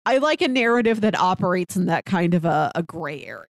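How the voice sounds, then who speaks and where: A woman's voice: fairly low pitch, expressive, brisk, no echo, studio quality. A woman co-hosting a podcast, discussing stories in a casual, conversational way. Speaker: podcast co-host.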